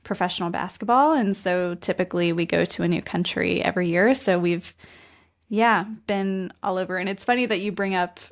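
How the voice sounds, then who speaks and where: A woman's voice: fairly low pitch, fairly quick. A woman from West Virginia, a guest in a casual interview, friendly and modest, laughing easily. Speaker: guest, entrepreneur.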